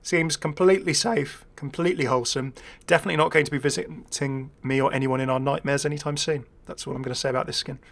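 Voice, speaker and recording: A man's voice: mid-range voice, steady tone, fast talker, gravelly, few pauses, fairly bright tone. A man hosting a gaming video with casual, unscripted commentary. He is chatty and hesitant, thinking aloud and dropping wry asides.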